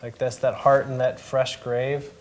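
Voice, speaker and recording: A woman's voice: a bass voice, level tone, unhurried, a little mellow. A woman talking casually and informally as a host and photographer discussing pictures. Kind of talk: casual chat. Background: faint music.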